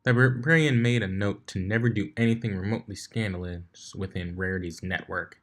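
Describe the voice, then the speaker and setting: Low-pitched man narrating a story. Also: brisk. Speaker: fan fiction reader.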